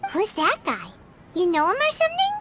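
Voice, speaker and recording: A woman voicing a tiny, childlike fairy companion in a video game, squeaky, inquisitive and excitable. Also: a little fast, over loud music, heavily acted. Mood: curious, puzzled. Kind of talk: English video game voice acting.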